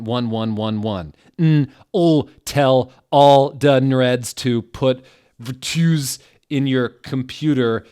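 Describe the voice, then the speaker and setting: Man doing loud, shrill ranting teen girl author. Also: natural voice. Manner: loud, emphatic, drawn-out words. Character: angry, defensive teenage girl amateur author, ranting.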